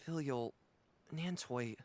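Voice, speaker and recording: A man's voice: mid-range voice, slow pace, a little mellow, no echo, close to an everyday voice. A man voicing an apologetic, conflicted young man bound by duty in a video game. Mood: remorseful.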